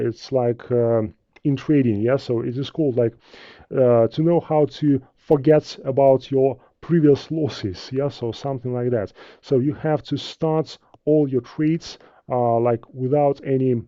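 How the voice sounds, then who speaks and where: A man with a Russian accent, a native Russian speaker, teaching trading in a live webinar, halting, with many fillers and restarts. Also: medium pitch, dark tone, dry recording, muffled recording. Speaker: trading instructor. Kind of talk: live webinar talk with viewer questions.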